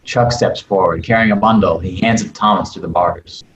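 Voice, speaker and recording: A man with a low voice narrates stage directions from a script. The sound is roomy and echoey, with faint noise behind it. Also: steady tone, fairly quick, a bit bright, dull audio. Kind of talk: scripted narration at a table read.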